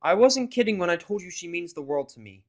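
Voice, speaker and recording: Male reader as humble cartoon stallion dad, somber and measured, pitched above his natural voice. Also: expressive, a little mellow, muffled recording. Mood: somber, grateful. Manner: earnest, measured.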